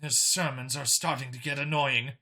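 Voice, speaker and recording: A man's voice: mid-range voice, steady tone, normal speed, sharp tone. A man voicing a brusque female combat squad leader on comms in an audiobook. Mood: weary, annoyed. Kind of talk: audiobook character voice.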